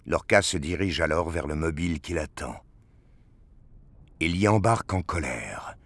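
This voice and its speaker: A man's voice: rumbling low, lively intonation, normal speed, fairly bright tone, no echo. A man narrating a sci-fi audio drama in a scripted, dramatic storytelling style.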